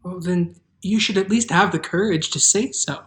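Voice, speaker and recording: Farm girl, female actor: sensible, plucky, lower-pitched, straight-talking, children's fantasy table read. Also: normal speed, lightly acted. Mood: frank. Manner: frank, decisive.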